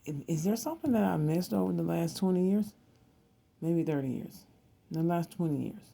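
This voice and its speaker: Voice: medium pitch, lively intonation, brisk, vocal fry, dark tone. A person in their thirties, hosting a rambling, casual psychic video monologue, often circling back and repeating phrases. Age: 30s. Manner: repeats words and phrases, digressive. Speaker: tarot and psychic reading video host.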